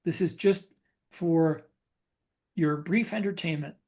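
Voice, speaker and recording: A man chatting casually as a podcast co-host, thinking aloud in halting phrases that he often repeats. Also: on the high side, a little mellow. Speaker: podcast co-host and fiction author.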